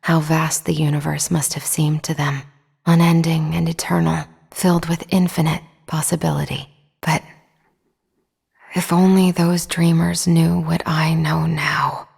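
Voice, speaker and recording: A woman playing a brooding female narrator in a space game, solemn and deliberate, with a gravelly, husky voice. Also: deep voice, a little mellow, full character voice. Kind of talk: video game voice acting.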